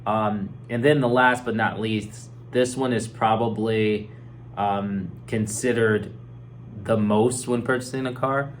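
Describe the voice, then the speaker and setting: A hoarse man with a cold, an insurance agent casually explaining things with many fillers and repeated words. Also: on the low side, fairly even intonation, takes it slowly, a little hiss or hum. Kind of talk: casual explainer talk to camera.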